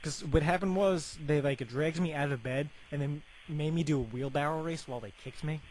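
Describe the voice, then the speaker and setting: A young man making a deadpan prank phone call in a rambling, hesitant, casual way full of filler words. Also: a little high, moderate pace. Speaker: prank caller. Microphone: telephone. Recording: phone call with faint background noise.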